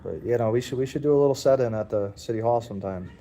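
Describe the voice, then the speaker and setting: A man's voice: fast talker, warm tone. A man, livestreaming casual commentary in a public building, rambling and talking straight to his viewers. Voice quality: deep. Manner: rambling, defiant, addresses viewers directly.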